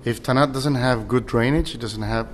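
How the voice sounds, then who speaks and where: A man from Chile, a winery general manager, talking casually about wine with many halting restarts. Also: medium pitch, a little hiss or hum. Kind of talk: casual conversation.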